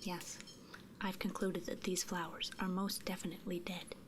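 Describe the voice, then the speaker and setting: A woman performing an ASMR roleplay in a soft, breathy whisper, gently inquisitive and murmuring, close to the microphone. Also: fairly low pitch, flat delivery, normal speed. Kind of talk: whispered ASMR roleplay as a ghost removal technician.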